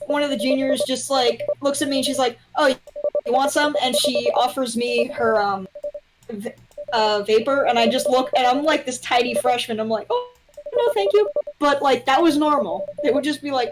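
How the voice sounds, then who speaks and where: A young woman with a high-pitched voice joins a livestream as a guest. She tells anecdotes in a casual, conversational way, with faint noise and clicking on her mic. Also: normal speed.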